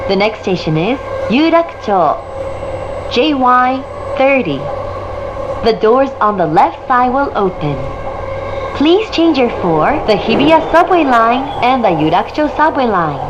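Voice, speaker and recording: A woman reading a scripted train announcement in a measured, clearly enunciated way. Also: sing-song, muffled recording. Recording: loud train noise throughout. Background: rumble of a moving train carriage.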